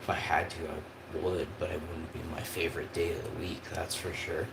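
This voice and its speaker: A man's voice: deep voice, flat delivery, normal speed, vocal fry, echoey room, background noise. A man, telling a rambling personal story on a livestream in a casual, chatty way. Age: 40s. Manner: casual and chatty, with frequent tag questions and restarts.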